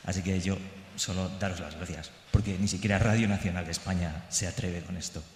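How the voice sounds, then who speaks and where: Deep spanish guy, hesitant thankful remarks, echoey hall, bit of noise. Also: fairly even intonation. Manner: hesitant, halting, appreciative. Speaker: radio teacher, audience speaker. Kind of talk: informal remarks from the floor. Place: large indoor conference hall.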